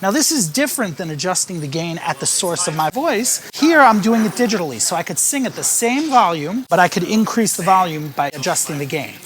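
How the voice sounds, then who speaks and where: A man teaching live sound setup in a music venue, explaining each gain stage calmly and speaking into a handheld vocal mic. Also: high voice, brisk, hardly any pauses. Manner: calm, explanatory, stepping through each setting. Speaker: sound engineer and band leader teaching live sound. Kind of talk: hands-on tutorial with sung demonstrations. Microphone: handheld vocal microphone.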